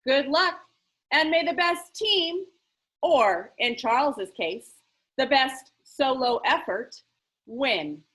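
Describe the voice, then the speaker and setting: Eccentric storybook gentleman game-maker, played by a woman: bright, high, theatrical, playfully grand. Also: lively intonation, slow, full character voice, poor audio. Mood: ceremonial. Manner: theatrical, emphatic, pronouncing showily.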